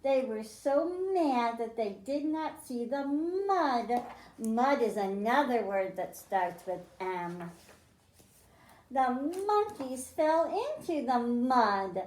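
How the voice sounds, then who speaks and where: Woman, children's librarian, unhurried sing-song reading, roomy sound. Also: fairly high pitch, a little mellow. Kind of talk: reading a picture book aloud to children. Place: library room.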